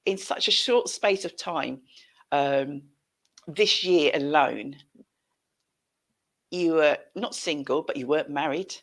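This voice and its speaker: A middle-aged woman with a British accent hosts a casual interview over a headset. Her voice is slightly low and full of gravelly creak. She talks in an effusive, familiar way. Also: slow pace, long pauses. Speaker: show host. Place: room at home, indoors. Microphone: headset on a video call.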